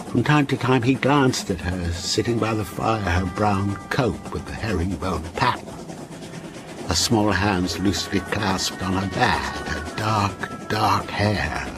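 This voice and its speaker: An actor in a radio drama playing a wistful, contrary older man who tells stories in a deliberate, lyrical and insistent way. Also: on the low side. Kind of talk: radio drama acting, storytelling.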